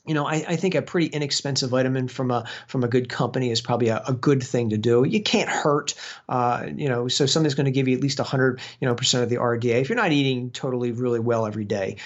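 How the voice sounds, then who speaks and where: A man's voice: mid-range voice, fast talker. A man, a guest exercise physiologist, explaining at length in casual chat with many fillers and restarts. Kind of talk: casual interview over a call. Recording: dull lo-fi sound.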